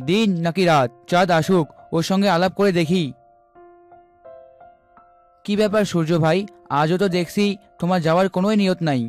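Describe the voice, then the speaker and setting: A man's voice: sits high, natural voice. A man voicing a proud, bickering talking sun or moon in a cartoon fable.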